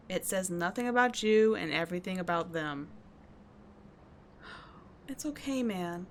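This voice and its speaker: A woman's voice: medium pitch, a little hiss or hum, lightly acted. A woman playing a tough but caring teenage delinquent schoolgirl in an ASMR roleplay, speaking in a slangy, blunt yet soothing way. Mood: gentle, reassuring. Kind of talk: ASMR roleplay audio drama.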